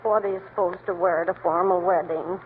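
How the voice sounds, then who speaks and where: A man's voice: high voice, fairly even intonation, quick pace, close to an everyday voice. A man voicing a chatty, wisecracking middle-aged woman in an old radio comedy. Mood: gossipy. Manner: gossipy, rambling joke teller. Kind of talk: 1930s radio comedy acting. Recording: tinny old radio recording with faint hiss.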